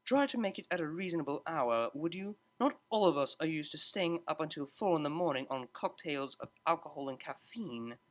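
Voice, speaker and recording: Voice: medium pitch, lively intonation, fairly quick, hardly any pauses, natural voice. A narrator voicing a refined, haughty young female pony cellist with a prim, disdainful manner. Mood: prim, curt.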